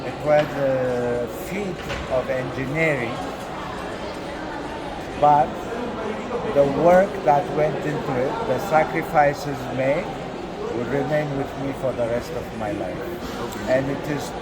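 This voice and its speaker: A man speaking slowly and solemnly as a visiting guest. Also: mid-range voice, crowd noise, low-quality recording. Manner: slow, solemn, deliberate. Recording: loud background noise.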